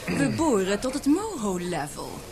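An actress playing a sharp-tongued young woman scientist with a clipped, condescending manner in a radio play. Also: mid-range voice, very animated, measured pace, over music. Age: young adult. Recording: old radio drama with crowd murmur.

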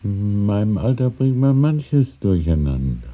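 A deep, husky, courteous old man. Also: sing-song, measured pace. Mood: courteous, evasive. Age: older. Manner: hesitant, musing, courteous, with small chuckles. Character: deferential, wistful elderly shopkeeper, evasive and courteous. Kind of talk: 1970s German radio drama acting. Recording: muffled, phone-like old radio recording.

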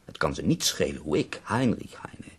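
An actor playing a bedridden, dying poet who speaks in ornate, wry, theatrical phrases in a radio drama. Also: male voice, a bass voice, sing-song, normal speed, breathy edge, heavily acted. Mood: resigned, sardonic.

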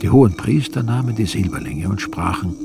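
An actor as a grave gospel narrator in a radio drama, telling the story in a measured way. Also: male voice, lowish voice, very animated, creaky, noisy background. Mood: solemn, narrating.

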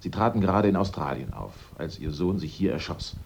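A man acting a sharp-witted amateur sleuth in a German radio drama, theatrical and assertive as he sets out his case step by step. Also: deep voice, very animated, a little fast, creaky, muffled recording, heavily acted. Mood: triumphant, conclusive.

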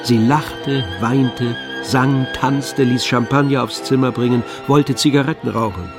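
An actor playing the detached, wry narrator of a literary radio play, with a gravelly voice. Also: male voice, mid-range voice, fairly even intonation, a little slow, over music, close to an everyday voice.

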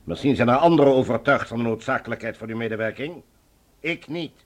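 A man's voice: medium pitch, quick pace, natural voice. A man playing a cold, suspicious Martian supreme leader in a Dutch science fiction radio drama, curt, sarcastic and commanding. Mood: curt, menacing.

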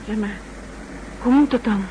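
A woman's voice: on the high side, a little slow, dull audio, natural voice. Lady playing a scared captive woman in an old radio play, anxious questions, noisy sound. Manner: worried, questioning. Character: anxious female captive, the spy's companion. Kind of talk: 1960s Dutch radio drama acting. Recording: noisy 1960s radio drama recording.

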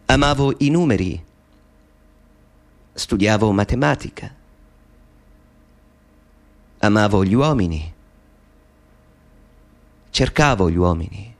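A middle-aged man confiding in his mother in a radio play. His voice is gravelly, slow and deliberate. The pitch rises and falls with feeling. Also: animated. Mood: reflective. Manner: wistful, intimate monologue. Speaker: actor.

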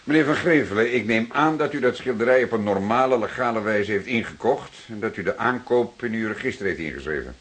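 A composed radio-play detective with a very deep, slightly rough voice. He is courteous and formal. He questions deliberately and does not let go. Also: animated, a bit bright. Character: composed, probing male police detective, courteous but insistent. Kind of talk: Dutch radio play acting.

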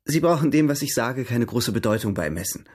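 Actor as a charming, lively, husky-voiced artist suitor. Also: male voice, mid-range voice, brisk, lightly acted. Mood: philosophical, cheerful. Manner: courteous, ardent, light-hearted. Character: charming, cheerful male artist, courting his cousin. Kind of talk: radio drama acting.

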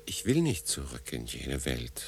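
An actor playing a composed, reflective, mature English consul in a radio drama, speaking in a measured, grave and deliberate way. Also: male voice, extremely low pitch, huge pitch swings, a little hiss or hum, heavily acted. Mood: grave, resolute.

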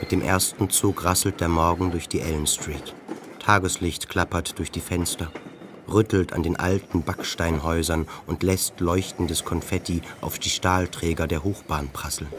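A man serves as the narrator of a radio play adapted from a novel. His voice is low and sober, telling the story in measured, descriptive phrases over music. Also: fairly even intonation, natural voice. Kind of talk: literary scripted narration in radio drama. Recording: music behind the voice.

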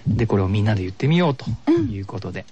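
A man's voice: on the low side, huge pitch swings, racing, warm tone, breathy edge. A man explaining a game in casual, polite chat as a radio show guest, agreeable and a little hesitant in his phrasing. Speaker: guest guiding the host through a game. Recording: dull lo-fi radio recording.

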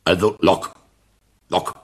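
An actor playing a pompous, irascible professor in a radio comedy, pedantic and halting, blustering when challenged. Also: male voice, slight background noise, natural voice. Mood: stern, pedantic. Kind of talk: German radio comedy drama acting.

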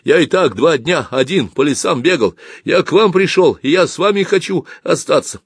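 A man's voice: mid-range voice, expressive, slow pace, husky, no echo, studio quality, pitched above the performer's own voice. A man voicing a stubborn, frightened youth, abrupt and pleading, in an audiobook. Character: breathless stubborn frightened youth seeking to join soldiers.

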